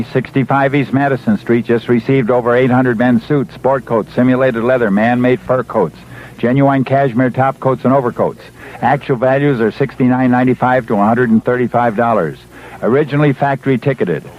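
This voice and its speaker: A man's voice: mid-range voice, steady tone, fairly quick. A man reading a seventies radio retail commercial as an announcer, insistent and repetitive. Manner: insistent, repetitive hard sell. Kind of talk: 1970s radio retail ad read. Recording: dull vintage radio broadcast.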